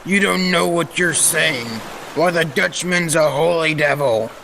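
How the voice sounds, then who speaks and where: An actor playing a stubborn, superstitious old sailor who speaks in emphatic salty dialect, in old-time radio style. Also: male voice, a little high, measured pace, gravelly, pushed and bright, a little hiss or hum, full character voice. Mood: wary.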